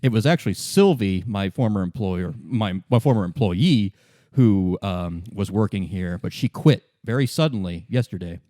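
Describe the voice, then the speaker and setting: A man voicing a halting, evasive, apologetic manager in a tabletop game. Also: lowish voice, expressive, dark tone, dry recording, clean studio sound, natural voice. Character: apologetic, hesitant male hotel and restaurant manager.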